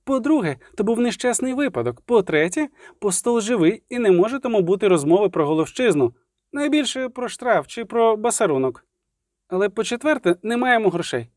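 A man's voice: high-pitched, very animated, a little fast, a little mellow, dry recording, studio quality, pitched above the performer's own voice. A man voicing a flippant, argumentative bard in a fantasy audiobook, glib, lawyerly and teasing.

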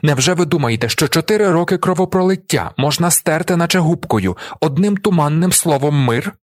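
A man's voice: on the low side, lively intonation, normal speed, breathy edge, no echo, studio quality. A man voicing an enraged, defiant young war veteran in a dramatized audiobook, speaking in vehement, accusing exclamations.

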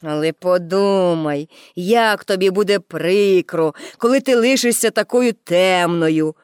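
A woman's voice: on the low side, a little slow, darkish tone, clear, resonant tone, no echo, studio quality, pitched below the performer's own voice, natural voice. A woman voicing a patient, insistent policeman in a storybook, reasoning with mild condescension. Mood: patiently insistent.

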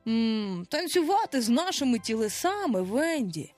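A woman's voice: a little high, sing-song, normal speed, darkish tone, faint music behind. A woman voicing a cocky storybook boy who plays father, lordly and mock-grumpy, with playful pomposity.

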